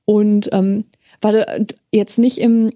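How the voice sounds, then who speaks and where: A woman with a mellow, dark-toned voice shares personal stories casually in an interview. She is candid but hesitant, often searching for words, and the recording is close and dry. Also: medium pitch, level tone, takes it slowly, no room sound. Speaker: streamer, interview guest.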